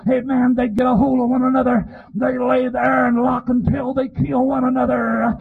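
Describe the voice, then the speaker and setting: Male revival preacher, very high strained pitch, husky, impassioned delivery, dull lo-fi tape. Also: level tone, normal speed. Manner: impassioned, pleading, often shouting, punctuates phrases with "amen". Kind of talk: fervent revival sermon. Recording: dull, lo-fi sermon recording.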